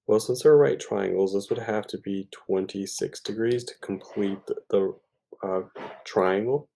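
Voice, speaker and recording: Low, gravelly male math tutor. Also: unhurried, warm tone, slight background noise. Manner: informal, thinking aloud with hesitations and self-corrections. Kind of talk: step-by-step problem walkthrough.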